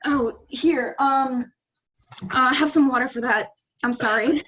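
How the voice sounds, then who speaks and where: Actress as a high-voiced, wry young woman. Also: slow, fairly bright tone, breathy edge, exaggerated voice, low-quality recording. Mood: awkward, apologetic. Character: wry, teasing young woman on an awkward date. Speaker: actor in a staged play reading. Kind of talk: virtual staged reading of a comedy play.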